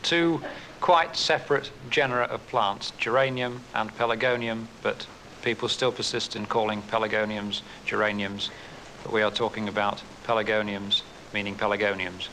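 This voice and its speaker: A man's voice: on the low side, steady tone, normal speed, bright, forceful tone, low-quality recording. A man explaining plant names on a radio gardening panel, earnest and pedantic. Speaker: gardening expert. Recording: hissy radio recording.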